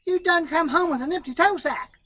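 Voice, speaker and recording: A shrill, bossy raccoon wife. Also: male voice, very animated, unhurried, fairly bright tone, slight background noise, heavily acted. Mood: scolding. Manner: shrill, hollering.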